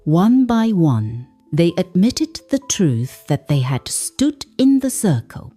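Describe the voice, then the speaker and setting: Slow, animated woman storyteller over music. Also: on the low side. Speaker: folktale narrator. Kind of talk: dramatic scripted storytelling narration.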